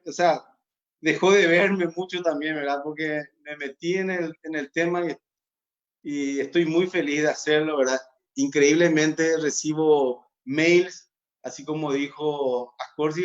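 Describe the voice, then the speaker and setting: A man who draws comics speaks on a live panel in a slightly high voice, ending his sentences with little questions. The audio is muffled and lo-fi. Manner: keeps adding question tags. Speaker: comic artist. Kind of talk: live online panel conversation.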